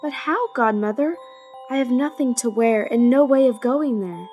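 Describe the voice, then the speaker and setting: A woman's voice: a little high, expressive, normal speed, darkish tone, faint music behind, close to an everyday voice. A woman voicing a meek, sweet, downtrodden young girl in a children's fairy tale cartoon, timid and plaintive. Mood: wondering, unsure.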